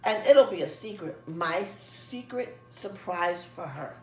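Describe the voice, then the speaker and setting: Curious, excitable storybook boy, played by a woman, drawn-out words, roomy and off-mic. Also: fairly low pitch. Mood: eager. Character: eager, curious young boy gardener. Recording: echoey room, distant mic, music behind.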